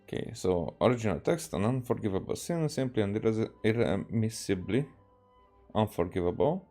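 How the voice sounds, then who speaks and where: Low, mellow-voiced man from Hungary streaming. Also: lively intonation, faint music behind. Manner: halting, re-reads stumbled words. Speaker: game streamer. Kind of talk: casual gameplay commentary, reading game text aloud.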